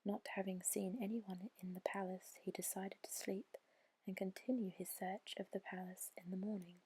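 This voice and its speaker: Woman whispering a bedtime story asmr style, super breathy n soothing, barely any pitch movement, close dry mic. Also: little pitch movement, poor audio. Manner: gentle, soothing, measured storybook delivery. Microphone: close microphone.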